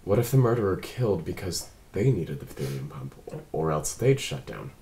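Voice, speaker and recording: A man playing a composed android police detective at a script read, formal, measured and precise. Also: low voice, big pitch swings, dark tone. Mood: analytical. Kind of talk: table read of a film script. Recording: some background room noise.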